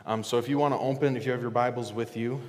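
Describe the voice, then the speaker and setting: A male pastor giving a casual, joking sermon, often restarting and filling pauses with "um". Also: mid-range voice. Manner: relaxed, joking, halting with fillers. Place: church sanctuary. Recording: long reverb of a church hall.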